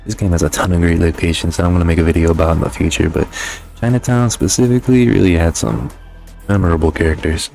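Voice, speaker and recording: Deep-voiced man reviewing a game, music behind. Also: fairly even intonation, fast talker, vocal fry, darkish tone. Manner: conversational, slangy, profane. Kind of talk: casual scripted game review voice-over.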